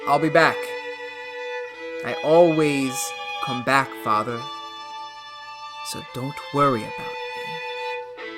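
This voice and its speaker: A young vampire son, played by an actor, speaking softly and with an eerie calm, drawing each word out slowly. Violin music plays under him in this radio drama. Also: male voice, medium pitch, close to an everyday voice.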